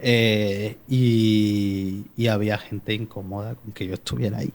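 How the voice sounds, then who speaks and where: A man's voice: lowish voice, steady tone, a little slow, darkish tone. Young man with a Málaga-Mexican accent. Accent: Málaga Spanish with Mexican traces. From born in Mexico, raised in Spain. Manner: chatty, storytelling, frequent hesitations and restarts. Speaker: podcast guest. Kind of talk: personal faith testimony in casual conversation.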